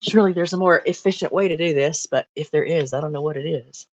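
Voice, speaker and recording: Low-voiced woman chatting while she bakes. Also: fast talker, a little mellow, no room sound. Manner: rambling, talks to viewers while working. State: voice lower than usual from a cough. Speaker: cooking livestream host. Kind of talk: casual livestream chat while baking. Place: home kitchen. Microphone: phone mic.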